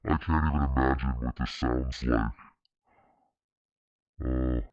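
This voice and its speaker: A man live streaming casually, his voice run through a voice changer that shifts it to deep or high pitch. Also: fairly even intonation, slow pace, darkish tone, dry recording, dull audio. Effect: voice changer, jumping between deep and high pitch.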